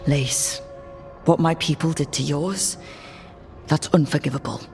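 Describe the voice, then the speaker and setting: A woman's voice: deep voice, a little slow, music in the background. A woman voicing a compassionate, level-headed leader of a fantasy band in a video game cutscene. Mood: sympathetic.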